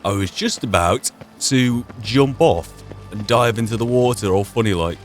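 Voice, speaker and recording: A man's voice: mid-range voice, expressive, normal speed, soft background music. A man doing wry, understated let's play commentary, sometimes narrating the game as a role-play. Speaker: gaming channel host.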